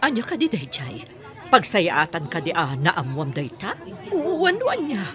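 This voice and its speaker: A woman with an Ilocano accent playing a worried middle-aged mother in an audio drama, in a husky voice. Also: lowish voice, huge pitch swings, unhurried, a crowd in the background. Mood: curious, surprised. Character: worried mother guarding her adopted child.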